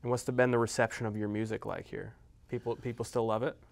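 A man interviewing a guest in a studio, asking short, quick questions. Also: mid-range voice, flat delivery, creaky. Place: studio with blue curtain.

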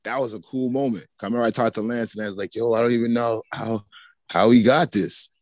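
A man hosts a casual hip hop podcast from a home studio, talking into a desk microphone on a video call. He sounds hyped and slangy and often runs over his guest. His voice sits at an ordinary pitch, and the room sound is close and dry. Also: steady tone, quick pace, no echo. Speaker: podcast host. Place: home studio with posters behind.

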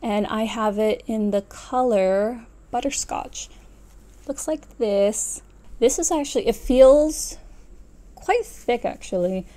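A woman's voice: a little high, slow pace, mellow. A woman in her twenties chatting casually to camera as a vlogger, rambling and correcting herself as she goes. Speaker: knitting vlogger. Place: small furnished room at home, indoors.

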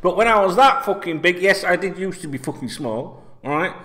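A man's voice: high-pitched, a little fast. A man in his forties from North Yorkshire with a Yorkshire accent, ranting about football to camera in blunt, sweary casual talk, forever checking in with "right?", seated in a small room. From North Yorkshire, England. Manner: sweary, blunt, animated, keeps asking "right?". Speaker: football vlogger. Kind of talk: casual opinion rant to camera. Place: small indoor room, seated in red chair.

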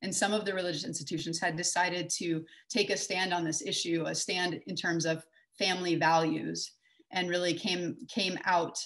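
Woman giving a low-pitched online talk. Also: little pitch movement, moderate pace, fairly bright tone. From Texas, US. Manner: conversational, with frequent fillers and restarts. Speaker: conference speaker. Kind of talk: online conference talk with slides. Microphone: webcam on a video call.

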